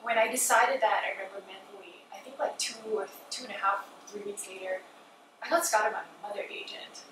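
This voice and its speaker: A woman's voice: mid-range voice, steady tone, fairly quick. A woman from Malaysia in her thirties, chatting casually as an interview guest on a sofa with lots of "like" and "you know". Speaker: guest, skincare founder. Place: living room, seated on a sofa. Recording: echoey room from a distance, noticeable room noise.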